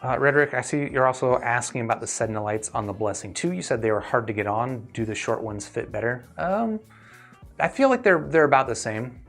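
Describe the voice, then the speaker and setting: A man's voice: mid-range voice, lively intonation, a little fast, fairly bright tone, soft background music. A man hosting a live stream in a casual, conversational way, thinking aloud as he answers viewers. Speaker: host of a livestream reviewing earphones.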